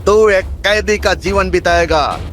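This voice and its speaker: A man's voice: sits high, unhurried, music in the background. A man playing a cruel, mocking usurper uncle in a fantasy audio drama, drawing out his menacing taunts. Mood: vengeful, gloating.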